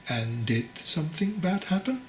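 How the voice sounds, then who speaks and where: A man voicing a venerable old monastery master in a storybook, calm, measured and gently probing. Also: fairly high pitch, echoey room, a little hiss or hum, voice pushed up. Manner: measured, questioning, teasingly patient. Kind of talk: storybook character dialogue.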